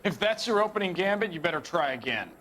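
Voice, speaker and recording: A man's voice: high voice, big pitch swings, quick pace, sharp tone, slight background noise, heavily acted, poor audio. A man playing a defiant, idealistic technician suspect in a video game drama, haughty and argumentative.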